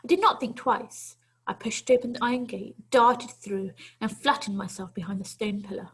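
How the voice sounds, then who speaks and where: A woman's voice: mid-range voice, normal speed, fairly bright tone, slightly rough, low-quality recording. A woman teacher reading a children's story aloud to pupils, expressively and with dramatic, emphatic phrasing.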